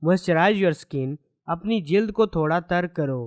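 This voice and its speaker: A man teaching English, reading each short sentence slowly twice with its translation. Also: high-pitched, darkish tone, no echo, clean studio sound. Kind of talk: slow sentence drills with translations.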